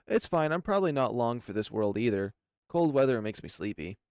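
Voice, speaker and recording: A man voicing a shy, nervous, gentle young animal character in a visual novel, speaking in a hesitant, apologetic, soft-spoken way. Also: mid-range voice, very animated, a little fast, smooth, dry recording, clean studio sound.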